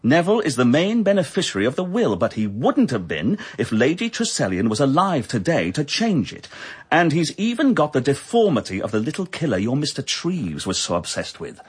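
An actor playing a brisk, self-assured male police inspector in a radio drama. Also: mid-range voice, wildly swooping pitch, husky. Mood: stern. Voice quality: brisk and authoritative.

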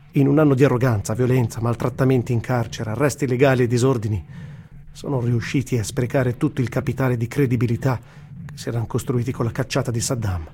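Radio play: male actor as wry, indignant war correspondent, mid-pitched and mellow, telling his diary expressively. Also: slight background noise, close to an everyday voice. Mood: bitter, sardonic. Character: male war journalist recounting risky trips, wry and indignant. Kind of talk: dramatised diary reading, radio theatre.

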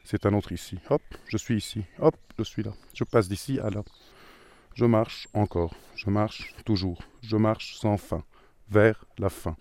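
A man's voice: fairly low pitch, normal speed, a little mellow, slight background noise. A man performing improvised spoken word in repetitive, musing phrases. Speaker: participant in an audio landscape piece.

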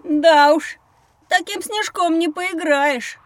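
Woman voicing a high-pitched, curious young schoolboy. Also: slow pace, no echo. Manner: inquisitive, eager. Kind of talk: children's educational audio drama acting.

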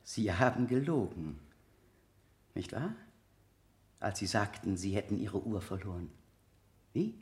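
A man's voice: fairly low pitch, animated, unhurried, pauses often, a little mellow, roomy sound. A man acting a relentless, scornful public prosecutor in a 1970 German radio drama, with theatrical, insistent rhetoric. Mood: probing, ironic. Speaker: actor in a radio play.

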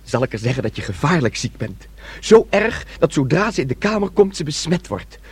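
An actor in a radio drama playing a naive, excitable young man, boyish, dreamy and eager to please, with a husky voice. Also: on the high side, brisk, no echo, exaggerated voice. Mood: teasing. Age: young adult.

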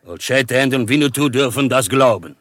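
An actor playing a proud, solemn chief held prisoner in a western radio drama, speaking formally and with measured gravity. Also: male voice, medium pitch, expressive, fairly bright tone, no room sound, natural voice. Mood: solemn, earnest. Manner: formal, measured, speaks of himself in third person.

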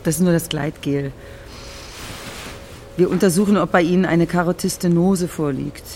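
An actress playing a brisk, clinical examining doctor in a naturalistic radio drama. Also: female voice, on the low side, a little mellow, faint music behind, lightly acted.

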